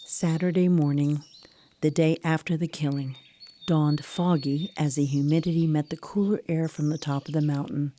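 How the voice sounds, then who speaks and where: Female storyteller, deep register, warm mellow tone, measured scripted radio drama narration. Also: fairly even intonation, slight background noise.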